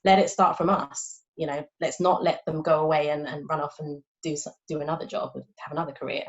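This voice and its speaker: A woman with a very low voice joins a relaxed panel chat, sounding muffled through dull, lo-fi audio. She talks conversationally, often turning to her listeners to check they agree. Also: a little fast. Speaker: speech and language therapist. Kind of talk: casual panel discussion.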